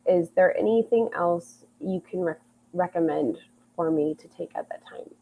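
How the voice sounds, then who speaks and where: A woman's voice: on the low side, normal speed, vocal fry, warm tone, a little hiss or hum. A woman joining a livestream by audio only as guest expert, answering viewer questions hesitantly with many ums. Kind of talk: casual Q&A over audio call. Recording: tinny phone-like audio line.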